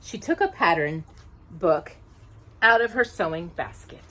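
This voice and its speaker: Unhurried, animated woman reading a storybook. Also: lowish voice. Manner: expressive, stumbles over words. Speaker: children's storybook reader. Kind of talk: animated read-aloud storytelling to children.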